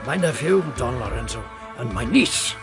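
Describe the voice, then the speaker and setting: A man's voice: medium pitch, lively intonation, normal speed, natural voice. Actor as genial stately king over music. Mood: genial, proud. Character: genial, pompous king watching a court play. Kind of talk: classical radio drama. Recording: music clearly behind the voice.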